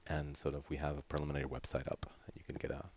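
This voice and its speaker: A man's voice: very deep voice, completely flat delivery, rapid-fire, darkish tone, a little hiss or hum. A man with a Catalan accent, whose first language is Catalan, speaking as a guest scientist in a casual interview, hesitant and often repeating words as he restarts. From Catalonia, Spain.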